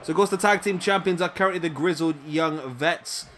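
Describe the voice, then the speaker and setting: A man giving casual play-by-play commentary on a wrestling video game, chatty with rambling asides to viewers, his voice going a little hoarse. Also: a little high, a little fast, noisy background. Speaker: gaming commentator. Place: home room, late at night.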